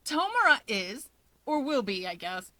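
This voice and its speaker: A woman voicing a cheeky, boisterous young man in a story reading, with an excitable, emphatic, teasing delivery. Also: fairly high pitch, measured pace, dry recording, full character voice. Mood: playful, insistent. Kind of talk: expressive fan fiction character reading.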